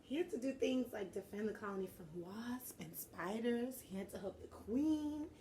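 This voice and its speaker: A woman teacher doing an animated storybook read-aloud, encouraging and pausing with questions for young listeners. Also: fairly high pitch, slight background noise. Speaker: teacher reading a picture book aloud to children.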